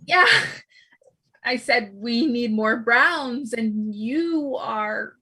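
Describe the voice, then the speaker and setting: Woman playing a flustered, bright-voiced, husky co-op worker. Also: a little high, very slow, full character voice, low-quality recording. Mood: flustered confession. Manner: stammering, flustered. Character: nervous, guilt-ridden, awkward co-op worker Cass. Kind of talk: comedy table read.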